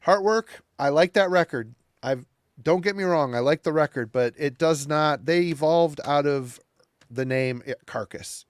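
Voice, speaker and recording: Emphatic man, somewhat high voice. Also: a little slow, clean tone, dry recording, studio quality. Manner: emphatic, repeats himself, swears casually. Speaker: podcast co-host. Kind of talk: casual chat about metal albums.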